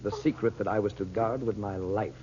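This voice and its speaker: A man's voice: on the low side, fairly even intonation, a little fast, mellow, close to an everyday voice. A man doing a firm, reassuring hero, old radio drama, dull muffled sound, faint hiss. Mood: earnest. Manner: earnest and firmly reassuring. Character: steady adult man guarding a secret. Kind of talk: 1930s radio drama acting.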